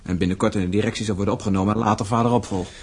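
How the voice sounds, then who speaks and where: Earnest, husky young heir. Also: male voice, fairly low pitch, fairly even intonation, very fast, a little mellow. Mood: serious, foreboding. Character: dutiful, serious son and heir to a mine. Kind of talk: Dutch radio play acting.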